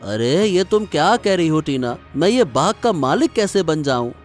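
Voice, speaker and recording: A naive cartoon freeloader with a high male voice, acted by a man. He is slow-witted and mild, speaking in a puzzled, protesting way with faint music behind him. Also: sing-song. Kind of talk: Hindi cartoon moral story dialogue.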